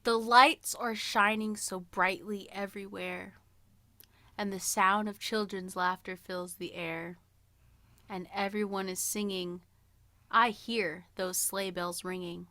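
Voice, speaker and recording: A woman's voice: medium pitch, fairly even intonation, takes it slowly, bright, forceful tone, no room sound. A woman co-hosting a podcast, chatting casually in an informal, playful, slangy way. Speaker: podcast co-host. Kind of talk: casual banter and song guessing game.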